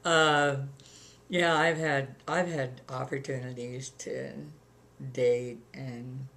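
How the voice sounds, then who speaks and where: An older woman with a gravelly voice, chatting plainly about her life in an interview, with many ums. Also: extremely low pitch, level tone, takes it slowly, fairly bright tone. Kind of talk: casual reminiscing chat.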